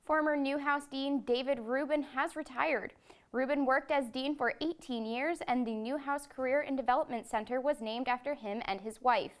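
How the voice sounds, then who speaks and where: A young woman anchoring a student newscast, reading in a clear, measured way. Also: high voice. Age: young adult. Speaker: news anchor. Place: student TV studio.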